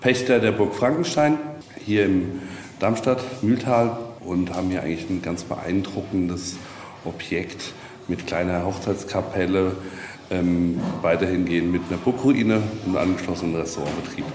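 A man explaining as a tour guide, in a slightly halting, informative way. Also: low voice, a little mellow. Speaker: castle leaseholder, tour guide. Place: small castle chapel. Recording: echoey stone room, faint ambient noise.

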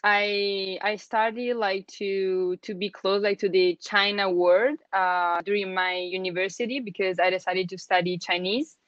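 A young Italian woman talks casually in English with an Italian accent, leaning on fillers and restarts and breaking into short laughs. Her voice sits at a middle pitch, recorded dry and slightly dull, as over a lo-fi call. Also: fairly even intonation, measured pace, hardly any pauses, a bit bright, no room sound. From Italy. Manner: chatty, many fillers and restarts, laughs often. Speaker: guest, email account manager. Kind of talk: casual interview chat.

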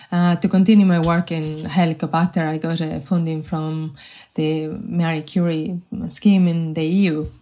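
A woman from Spain in her forties, a Spanish accent, speaking in a lowish voice for her sex. Her delivery is measured and hesitant, with frequent restarts. The tone stays flat and level. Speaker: guest, health research manager. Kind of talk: podcast interview.

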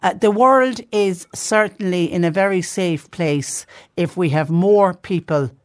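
A man's voice: sits high, unhurried, dry recording. Radio host guy, effusive and rambly, dry close studio sound. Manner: warm, effusive, rambling with restarts. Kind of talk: live radio talk show.

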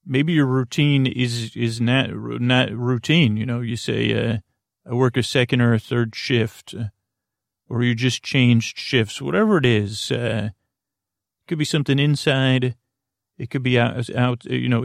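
A man hosting a sleep podcast, rambling through a goofy, whimsical monologue in a halting way full of stammers and self-corrections. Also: mid-range voice, steady tone, creaky, no echo, studio quality. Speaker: podcast host. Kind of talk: rambling bedtime monologue to help listeners sleep.

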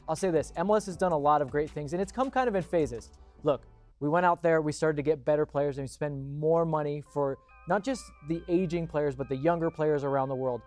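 Male sports talk host, slightly high voice, emphatic and opinionated, faint music underneath. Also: darkish tone. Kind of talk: casual sports show commentary.

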